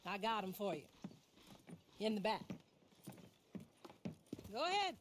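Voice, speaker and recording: A woman's voice: medium pitch, very animated, slow, background noise. An actress playing a tough female bounty hunter in a western game, with an American accent and a blunt, folksy, sardonic drawl. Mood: brash, confident. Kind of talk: dramatic game cutscene acting. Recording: music under the voice.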